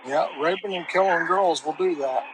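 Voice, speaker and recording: A man's voice: a little high, normal speed, very noisy. A man giving blunt, emphatic casual reaction commentary on true crime.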